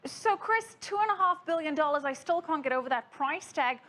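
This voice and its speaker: A woman's voice: sits high, lively intonation, a little fast, fairly bright tone. A woman anchoring a broadcast news interview, putting questions to a guest.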